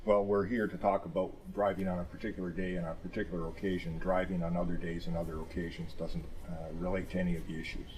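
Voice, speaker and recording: Plaintiff's counsel, played by a male actor, low-pitched, measured and protective, legal dramatization. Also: flat delivery, darkish tone, natural voice, rough recording. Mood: guarded, formal. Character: measured male plaintiff's lawyer guarding his client.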